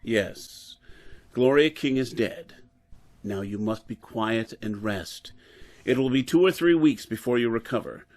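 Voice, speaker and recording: Guy acting as solemn old-radio professor, driven man of science, measured and formal, mid-pitched. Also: a little mellow, close to an everyday voice. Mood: grave, hushed. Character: dedicated, weary male scientist professor, grave and driven.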